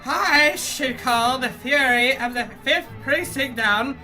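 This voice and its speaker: Actor doing jittery preachy cop close to retiring, high voice, drawn out delivery. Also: slow, bright, forceful tone, soft background music, exaggerated voice. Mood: indignant. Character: nervous, moralizing police officer near retirement.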